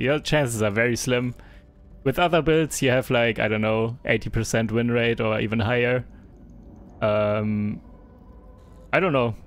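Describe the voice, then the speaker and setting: A young man with a German accent chatting casually through a live game stream, reading and answering viewer chat with frequent hesitations. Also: medium pitch.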